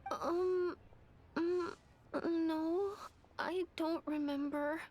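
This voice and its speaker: A woman's voice: extremely high pitch, steady tone, extremely slow, darkish tone, slight background noise, exaggerated voice. A woman voicing a timid, earnest little game-character girl who stammers and apologizes. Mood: nervous. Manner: hesitant, stammering. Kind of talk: video game voice acting. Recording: background music under the voice.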